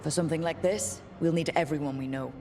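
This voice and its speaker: A woman's voice: deep voice, fast, warm tone, slight background noise. A woman playing a resolute, plain-spoken young team leader in a video game. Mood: determined. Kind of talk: video game dialogue acting.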